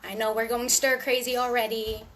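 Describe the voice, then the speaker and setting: A woman hosting a storytime for young children, reading aloud in a sing-song, deliberate way with little asides to her listeners. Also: fairly high pitch, flat delivery, very noisy.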